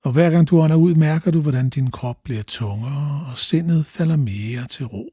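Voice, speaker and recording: Soft-edged, husky man guiding meditation. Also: medium pitch, no echo, clean studio sound. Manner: slow, calm, gently instructing with long pauses. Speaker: meditation guide. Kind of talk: guided meditation narration.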